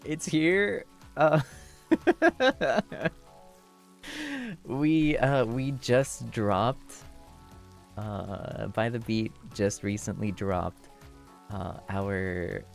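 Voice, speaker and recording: A young man streamer chatting casually with viewers, laughing often and thanking them warmly, moving between a low relaxed voice and a higher one. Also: vocal fry. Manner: shifts between low chill voice and high voice.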